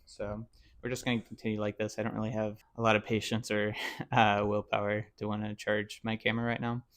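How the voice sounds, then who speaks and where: A man's voice: fairly low pitch, monotone, brisk, a bit bright. A man in his twenties talking casually at home in a rambling, self-reflective way, full of fillers. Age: 20s. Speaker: YouTuber.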